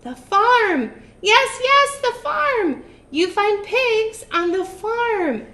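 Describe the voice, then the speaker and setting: A woman's voice: sing-song, extremely slow, pushed and bright, heavily acted. Woman doing a screeching grouchy witch. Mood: excited. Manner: screeching, shrill and frantic. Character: grouchy hungry old female witch.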